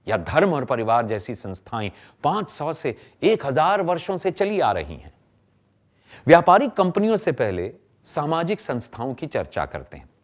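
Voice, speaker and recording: Guy doing training film narration, emphatic, pitch goes up and down a lot, asks rhetorical questions. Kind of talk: scripted explanatory narration.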